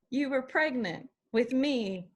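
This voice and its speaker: A woman's voice: high-pitched, exaggerated voice. A woman playing a hurt, confrontational young daughter in a dramatic screenplay table read.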